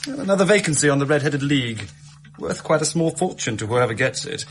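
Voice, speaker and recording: An actor playing a smooth-talking assistant in a radio drama, coaxing and theatrical. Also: male voice, a little high, expressive, brisk, natural voice. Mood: enticing. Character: smooth-talking, persuasive, crafty pawnbroker's assistant. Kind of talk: British radio drama acting.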